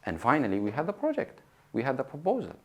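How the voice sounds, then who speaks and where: Lively-toned man telling a story. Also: mid-range voice, quick pace. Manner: storytelling, reflective. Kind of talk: documentary interview.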